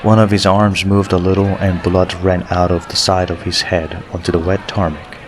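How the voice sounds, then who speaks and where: A man's voice: sits low, little pitch movement, moderate pace. A man narrating an audiobook story in a scripted reading style.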